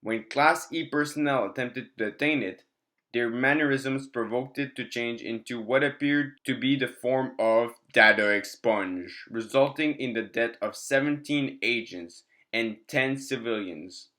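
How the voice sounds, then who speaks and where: A man's voice: mid-range voice, a little slow, bright, forceful tone. Man reading a clinical document aloud. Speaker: narrator.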